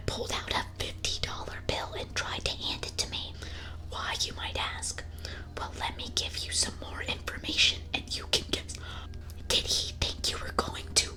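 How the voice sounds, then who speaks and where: A woman streamer reading aloud in a breathy ASMR whisper close to the microphone, with a playful, casual delivery. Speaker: streamer host reading listener confessions.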